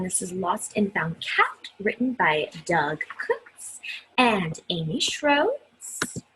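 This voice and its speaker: A woman with a breathy voice reads a story aloud expressively, speaking directly to young listeners and adding her own asides. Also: medium pitch, a bit bright, poor audio. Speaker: children's story reader.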